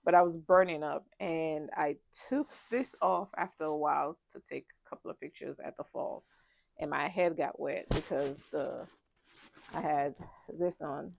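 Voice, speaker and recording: Stuffy-nosed woman with a gravelly voice. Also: sits low, normal speed, slight background noise. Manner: chatty, rambling, many ums and restarts. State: congested, stuffy nose. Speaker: travel vlogger. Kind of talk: casual packing chat to camera. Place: ship cabin.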